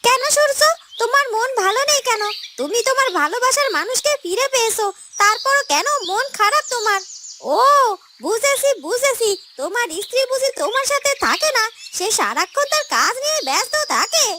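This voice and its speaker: A woman voicing a scheming, nagging young married village woman in a fairytale cartoon, with emphatic, drawn-out scolding and syrupy concern. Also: extremely high pitch, very animated, heavy background noise. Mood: sly, prying. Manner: falsely sympathetic, scolding, dragged-out emphatic words. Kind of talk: Bengali fairytale cartoon dubbing.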